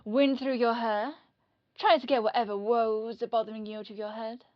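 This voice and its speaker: A woman's voice: a little high, fairly even intonation, normal speed, dull audio, lightly acted. A woman playing a clingy rich yandere in an audio roleplay, fluttery and defensive as she feigns innocence.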